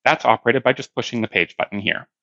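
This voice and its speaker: A man's voice: medium pitch, quick pace, bright, forceful tone, dry recording, lo-fi sound. A man giving a hands-on product demonstration, explaining plainly step by step.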